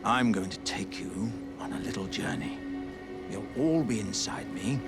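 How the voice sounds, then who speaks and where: An actor playing an earnest, jovial living warrior jar in a video game, speaking with grand, old-fashioned courtesy and theatrical formality. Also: male voice, lowish voice, unhurried, gravelly, over loud music. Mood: hopeful. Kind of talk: video game character dialogue.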